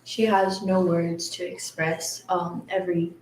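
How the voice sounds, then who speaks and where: A woman interpreting in turns for a guest, paraphrasing in a measured way. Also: on the low side, level tone, echoey room, background noise. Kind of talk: consecutive interpreting.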